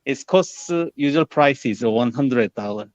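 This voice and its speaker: Soft-spoken Korean-accented man, halting English. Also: medium pitch, lively intonation. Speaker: salon owner guest. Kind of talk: casual interview answers. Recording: muffled lo-fi live stream.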